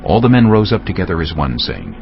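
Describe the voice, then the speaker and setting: A man's voice: deep voice, huge pitch swings, brisk, gravelly, highs cut off, faint music behind. A man narrating dramatized scripture as a solemn storyteller.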